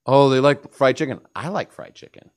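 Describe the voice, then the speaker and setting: A man's voice: medium pitch, normal speed. A man giving emphatic, opinionated religious commentary as a panelist in a discussion.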